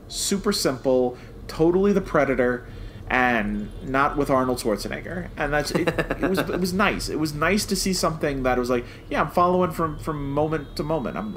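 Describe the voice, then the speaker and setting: A man's voice: on the high side, expressive, moderate pace, fairly bright tone. A man co-hosting a podcast, chatting casually and animatedly as a fan giving a review, acting out reactions as he goes. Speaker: podcast co-host. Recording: clear background noise.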